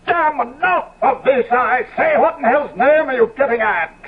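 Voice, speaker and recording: An actor playing a decadent, world-weary, easily appalled gentleman in 1970s radio drama, with an exclamatory, declamatory delivery. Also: very high voice, normal speed, slightly rough. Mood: indignant. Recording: dull vintage radio recording.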